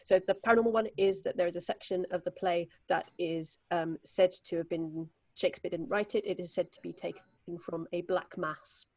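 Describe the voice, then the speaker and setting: A British woman chatting casually and telling theatre-style anecdotes in an animated way. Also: lowish voice, a little fast, few pauses, dry recording. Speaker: stage performer.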